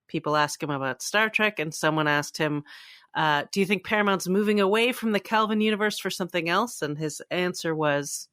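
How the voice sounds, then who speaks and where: Woman, podcast co-host, somewhat low pitch, dry close sound, casual conversational news chat. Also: a bit bright, dry recording.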